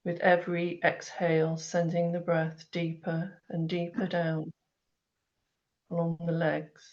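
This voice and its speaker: A woman leading a grounding meditation with slow, soothing instructions. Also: fairly low pitch, completely flat delivery, a little mellow. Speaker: meditation guide. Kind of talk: guided meditation. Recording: dull lo-fi online audio.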